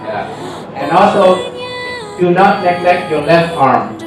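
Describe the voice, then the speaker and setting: A man's voice: high voice, lively intonation, slow, recorded from a distance. Man speaking from afar in an echoey hall. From Vietnam, raised in America. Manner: conversational, often tags 'right?' to check with listeners. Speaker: guest speaker at a school English club. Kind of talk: informal talk to students. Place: school hall. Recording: echoey room, voice from afar, music behind.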